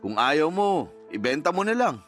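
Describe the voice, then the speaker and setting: A man's voice: a little high, very animated, moderate pace, a bit bright, soft background music. A man playing a callous, selfish partner in a radio drama, speaking in a cold, blunt, dismissive manner. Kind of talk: Tagalog radio drama acting.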